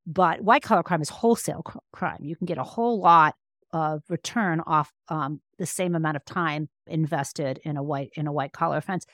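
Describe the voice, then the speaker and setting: A woman's voice: deep voice, a little fast, a bit bright, no room sound, studio quality. A woman answering as an interview guest in a conversational, explanatory way, restarting phrases as she thinks. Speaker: interview guest, law professor and author.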